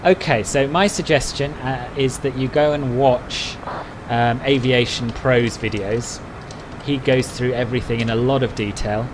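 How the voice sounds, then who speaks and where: Man, relaxed conversational live-stream talk, answering chat, steady background noise. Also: medium pitch, unhurried. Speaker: flight sim streamer.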